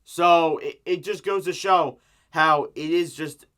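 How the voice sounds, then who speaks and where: A man's voice: on the high side, fairly even intonation, slow pace, a bit bright. A man with a sore throat from strep giving an emphatic, exasperated, opinionated sports rant as a fan making an online video. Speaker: hockey fan YouTuber.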